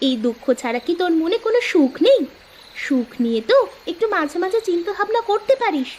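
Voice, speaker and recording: A woman's voice: very high voice, big pitch swings, quick pace, darkish tone, a little hiss or hum. A woman voicing a carefree, bossy, food-loving sister with a breezy, dismissive manner in a scripted cartoon story. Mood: scolding.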